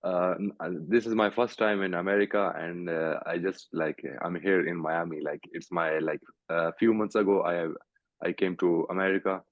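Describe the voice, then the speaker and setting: A young Pakistani man, a fighter, answering interview questions over a video call in halting English full of "like" and "you know". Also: deep voice, steady tone, no room sound. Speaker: guest fighter. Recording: tinny call audio, dry.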